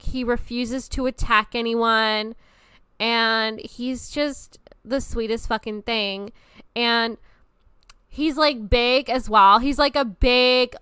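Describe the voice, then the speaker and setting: A woman's voice: a little high, sharp tone, clean tone, no room sound, clean studio sound. A woman in her twenties hosting a podcast, talking in a casual, rambling and gushing way, swearing often. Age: 20s. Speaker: podcast host. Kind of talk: casual monologue.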